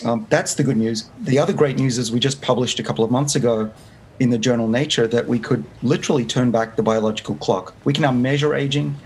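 A man's voice: mid-range voice, fast talker, a little mellow. A man in his fifties with an Australian accent, explaining his research as an interview guest, earnest and full of restarts. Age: 50s. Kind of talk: remote interview. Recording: faint background noise.

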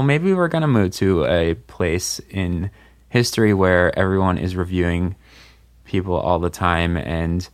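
A man's voice: low voice, dry recording. A man hosting a podcast, chatting casually and jokingly with many fillers. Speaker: podcast host. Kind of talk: casual group chat.

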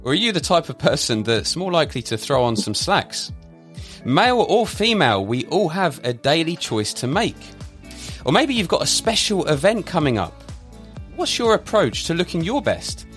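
A man hosting a podcast interview, upbeat and lively as he asks questions. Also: a little high, normal speed, faint music behind. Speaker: podcast host.

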